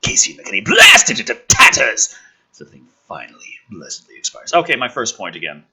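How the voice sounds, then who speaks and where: A man's voice: mid-range voice, wildly swooping pitch, fairly quick, pushed and bright, breathy edge. A man reading a script dramatically and breaking off into sarcastic, exasperated, sweary commentary as the host.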